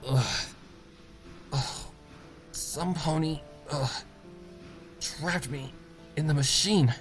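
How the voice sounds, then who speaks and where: Man putting on a young pegasus filly, shaken, breathless, halting, raised pitch, dark storybook tale. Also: soft background music. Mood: shaken, accusing. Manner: halting, stammering. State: breathless, coughing. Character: young female pegasus survivor, shaken and groggy.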